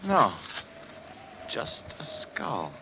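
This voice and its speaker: Stubborn radio-drama husband, muffled. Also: male voice, medium pitch, huge pitch swings, gravelly, exaggerated voice. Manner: brisk, dismissive, assured. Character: stubborn, skeptical, well-to-do husband. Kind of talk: 1950s radio drama acting. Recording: muffled, noisy vintage radio recording.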